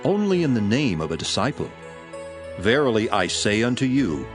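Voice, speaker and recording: A man playing a solemn, authoritative male religious teacher in a dramatized scripture reading, with measured, emphatic delivery. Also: fairly low pitch, sing-song. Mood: solemn assurance. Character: Jesus, solemn authoritative male teacher addressing his disciples. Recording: music behind the voice. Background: background music.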